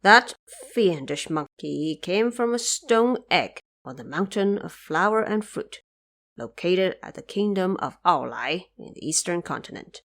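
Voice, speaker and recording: Woman playing a slow, stately celestial emperor. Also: fairly low pitch, lively intonation, fairly bright tone. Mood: solemn. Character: worried male celestial emperor, solemn and aggrieved. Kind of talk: audio drama storytelling.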